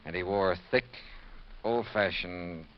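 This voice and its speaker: An actor playing a shrewd, confident, hard-boiled investigator with a clipped, probing manner in a 1950s radio detective drama. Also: male voice, low voice, slow. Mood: accusing. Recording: muffled, hissy 1950s radio recording.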